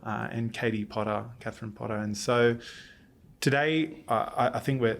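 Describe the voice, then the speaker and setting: A man from Australia, a committee chair interviewing a guest, speaking in an earnest, measured way with occasional restarts. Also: mid-range voice. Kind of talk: conversational interview.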